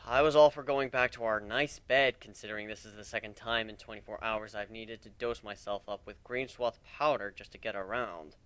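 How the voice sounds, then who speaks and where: A man's voice: fairly low pitch, steady tone, moderate pace, hardly any pauses, fairly bright tone, smooth, no echo, natural voice. A man voicing a world-weary, sarcastic married pony warden in a story reading. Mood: weary, defensive. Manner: blunt, sarcastic.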